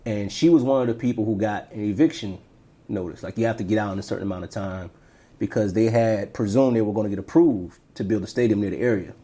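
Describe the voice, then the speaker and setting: Brief: man from Tampa, low mellow husky voice, reflective podcast co-host telling his story. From Tampa, Florida, US. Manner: reflective, trails off quietly. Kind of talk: casual interview storytelling.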